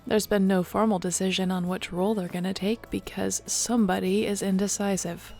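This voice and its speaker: Woman acting as young shifter clan leader, casual n sincere, bit of vocal fry, soft music behind, audio roleplay. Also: mid-range voice, steady tone, a little fast, lightly acted. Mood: easygoing, encouraging. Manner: casual, sincere, conversational storytelling. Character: warm young female shapeshifter, newly made clan leader.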